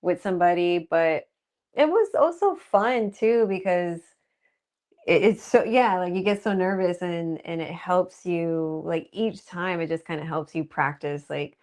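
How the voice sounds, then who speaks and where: A woman with a soft voice, a guest actress chatting casually and laughing often. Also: on the low side, unhurried. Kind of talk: casual interview chat.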